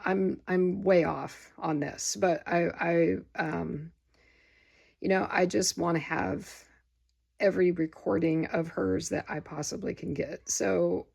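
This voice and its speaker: A tired woman with a gravelly voice, rambling casually about her record haul in a home vlog. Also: on the low side, steady tone, slow, darkish tone. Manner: rambling, many fillers and restarts. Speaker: vinyl record vlogger. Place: home room with window open. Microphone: phone camera.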